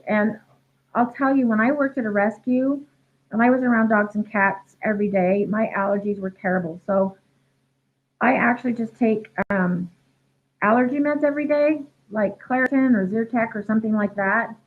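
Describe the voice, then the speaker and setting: A woman's voice: fairly high pitch, unhurried. A young woman, a dog trainer, answering viewer questions in a casual live chat, congested and losing her voice from a head cold. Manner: chatty, many ums and restarts, reads questions aloud. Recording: tinny phone-like livestream audio.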